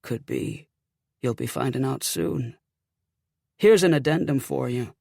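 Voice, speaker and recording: A man's voice: medium pitch, measured pace, vocal fry, darkish tone, no echo, studio quality. A man voicing the mocking ghost of a young slain officer with a Celtic accent, sardonic and taunting, in an audiobook. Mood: cold, taunting. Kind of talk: audiobook character acting.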